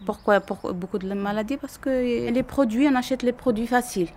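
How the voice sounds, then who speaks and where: A woman talking in broken, animated French, recorded during a museum visit. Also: on the high side, fairly quick.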